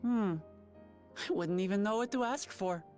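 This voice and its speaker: An actress playing an earnest, modest young adventurer in a fantasy game, talking in an eager, plain-spoken way. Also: female voice, medium pitch, moderate pace, darkish tone, faint music behind, lightly acted. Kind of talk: scripted fantasy game dialogue.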